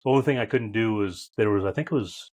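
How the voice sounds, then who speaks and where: A man's voice: on the low side, fast talker, darkish tone, breathy edge. A man in his fifties who hosts a podcast, speaking in a reflective way and searching for his words as he introduces his guest. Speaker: podcast host. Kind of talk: casual interview conversation.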